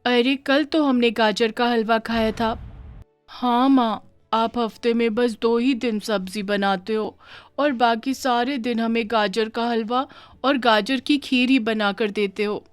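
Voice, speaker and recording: A woman's voice: a little high, steady tone, a little slow, smooth, no room sound, faint music behind, natural voice. A woman voicing an earnest young village daughter who pleads with feeling in a cartoon moral story. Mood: complaining.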